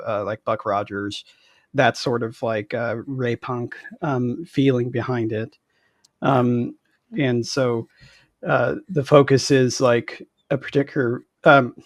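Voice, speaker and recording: Halting man explaining, dry sound. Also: mid-range voice, no echo. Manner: halting, many "like" and "um". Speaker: guest game designer. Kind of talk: explaining in casual interview. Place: indoor room, on a video call.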